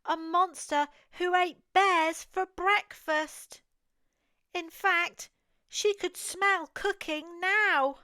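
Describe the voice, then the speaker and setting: A woman with an English accent reads a picture book aloud to young children in an expressive, deliberate storytelling manner. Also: very high voice, no echo, studio quality. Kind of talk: read-aloud picture book narration for toddlers.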